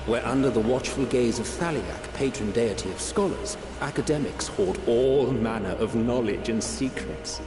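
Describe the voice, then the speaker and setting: An actor playing a solemn, scornful narrator in a video game cutscene, speaking in a deliberate, ominous way. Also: male voice, medium pitch, animated, close to an everyday voice. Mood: grave, scornful. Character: grave, brooding male narrator, bitterly scornful of scholars. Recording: echoey long reverb over music.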